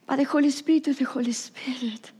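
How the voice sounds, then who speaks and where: A woman pastor from Argentina with an Argentine accent, whose first language is Italian, preaching a sermon in halting, repetitive English that sometimes drops to a whisper. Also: high voice, fairly even intonation, mellow, breathy edge. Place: church service.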